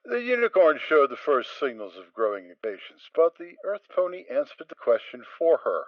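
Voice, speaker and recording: Wry, expressive male narrator. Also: a little high, moderate pace, no echo, muffled recording. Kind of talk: dramatic reading of fan fiction.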